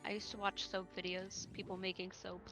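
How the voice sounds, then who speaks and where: A woman's voice: mid-range voice, little pitch movement, a little fast, a bit bright, faint music behind, low-quality recording. A woman chatting casually during a game stream, answering in short, dry, teasing remarks. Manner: dry, brief teasing replies. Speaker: co-player on stream. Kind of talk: casual chat while gaming.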